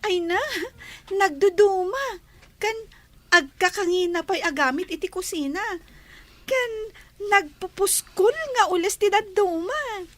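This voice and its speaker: A woman's voice: very high-pitched, a little slow, long pauses, no echo. A woman playing a sweet young Filipino village daughter with an Ilocano accent in a radio drama. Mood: curious. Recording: music playing behind the voice.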